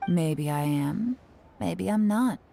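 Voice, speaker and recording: A woman voicing a calm, teasing, secretive female agent in a game. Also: lowish voice, gravelly, heavily acted. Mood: sly. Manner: calm, sly, measured. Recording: game audio over music.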